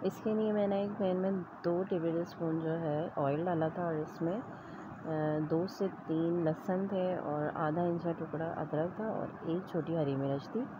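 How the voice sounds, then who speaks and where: Lady doing a cooking vlog voiceover, low voice, kinda muffled w noise behind her. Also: fairly even intonation, measured pace. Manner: explanatory, step-by-step instruction. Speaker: host of a home cooking vlog. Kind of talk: recipe voice-over narration. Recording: dull lo-fi audio with clear background noise.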